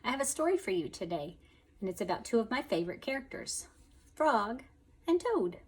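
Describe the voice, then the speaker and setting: A woman's voice: fairly high pitch, very animated, brisk, a little mellow, slightly rough. A woman teacher reading a children's story aloud, expressively, speaking directly to her young listeners.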